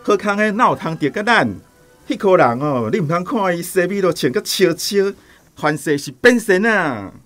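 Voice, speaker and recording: Sneering high-pitched Taiwanese village cynic. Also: male voice, lively intonation, moderate pace, fairly bright tone, no room sound, soft background music. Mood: scornful. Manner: scornful. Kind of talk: radio drama acting.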